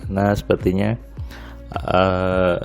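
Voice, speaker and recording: A man recording a relaxed spoken diary on his home terrace at night, his voice a little nasal and stuffy, rambling with frequent fillers. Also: low voice, slow, creaky, soft background music. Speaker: motivational audio diarist. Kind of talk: casual spoken diary monologue.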